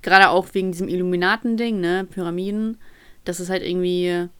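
A woman's voice: lowish voice, fairly even intonation, fairly quick, fairly bright tone, clean tone. A young woman from Ukraine chatting casually as a podcast co-host, with playful, slangy banter. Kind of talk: casual chat.

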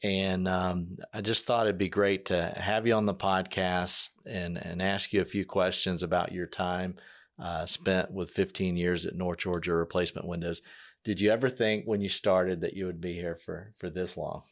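A man hosting a casual interview, speaking conversationally in halting phrases as he introduces and questions a guest. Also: low voice, little pitch movement, fairly bright tone. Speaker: podcast host, interviewer.

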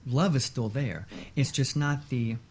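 A man speaking as an expert guest in a radio interview, explaining in a measured way with short repeated lists. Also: medium pitch, darkish tone.

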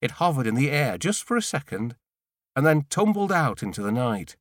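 A man narrates a literary audiobook in a medium-pitched voice. His delivery is measured and deliberate, phrase by phrase, in a very dry recording with no room sound. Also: sing-song, dry recording. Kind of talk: scripted literary narration in the first person.

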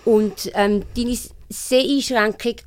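A woman's voice: on the high side, steady tone, slow, a little mellow, no echo. A woman with a Swiss German accent hosting a conversational interview. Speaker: radio interview host.

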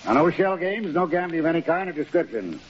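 A man plays a no-nonsense carnival boss in an old radio play. He speaks curtly in a slightly high male voice, through a muffled recording with faint hiss. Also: lively intonation, fast talker, lightly acted. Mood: businesslike. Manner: curt, commanding. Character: brusque, no-nonsense carnival show manager. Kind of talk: 1930s radio drama acting.

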